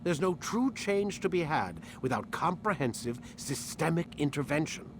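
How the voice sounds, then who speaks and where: An actor playing a smug, cryptic intellectual schemer in a video game, lecturing deliberately. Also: male voice, sing-song. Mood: lecturing, assured. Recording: clearly noisy, rumbling ambience. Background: ambient noise.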